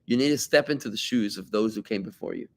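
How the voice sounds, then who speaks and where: Animated rabbi teaching a Talmud class. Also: male voice, fairly low pitch, fast, vocal fry. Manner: animated, rhetorical questions, conversational asides to students. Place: class with students present.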